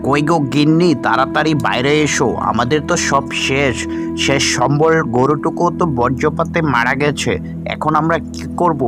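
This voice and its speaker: A man's voice: a little high, normal speed, over loud music, lightly acted. A man voicing an earnest poor village farmer and devoted husband in a children's cartoon. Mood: alarmed, despairing.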